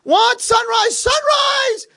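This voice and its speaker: A man's voice: fairly high pitch, big pitch swings, fairly quick, slightly rough, voice pushed up, exaggerated voice. A man playing a cheerful, kindly old artist in a nursing home, speaking earnestly and emphatically. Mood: exuberant.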